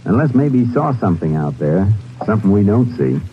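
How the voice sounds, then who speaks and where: An actor playing a steady, observant Texas Ranger, terse and matter-of-fact, in an old-time radio western. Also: male voice, fairly low pitch, a little fast, slight background noise. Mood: alert, reasoning. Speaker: lead lawman who also narrates. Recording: muffled old radio broadcast, loud music.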